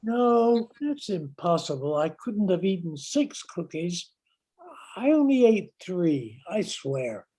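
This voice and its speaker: A woman playing an easygoing father in his thirties with a husky voice, in an amateur radio play. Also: on the low side, big pitch swings, takes it slowly, dark tone, full character voice, rough recording. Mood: sheepish.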